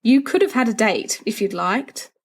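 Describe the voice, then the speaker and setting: A woman's voice: a little high, lively intonation, normal speed, breathy edge. A woman voicing a sensible teenage schoolboy, earnest and exasperated as he reasons, in a storybook reading. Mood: dry, comforting.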